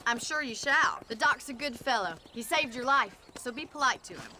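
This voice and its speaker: A woman's voice: fairly high pitch, lively intonation, normal speed. A woman acting a plain-spoken, wry young rancher in a Western video game, teasing and articulate. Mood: brisk, teasing. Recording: loud outdoor horse and wagon noise. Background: hoof clops, wagon ride.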